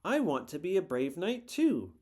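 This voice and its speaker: Man doing young girl beaver, storybook, firm, spirited, mellow tone. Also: fairly high pitch, sing-song, moderate pace, voice pushed up. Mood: determined.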